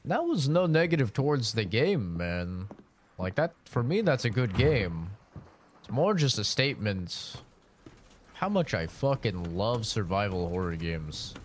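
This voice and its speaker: A man streaming a game, talking casually with a raspy, deeper-than-usual morning voice, rambling with lots of "like" and "man". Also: big pitch swings, measured pace, a little hiss or hum. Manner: rambling, filler-heavy, says "like" and "man" often. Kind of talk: casual gameplay livestream commentary.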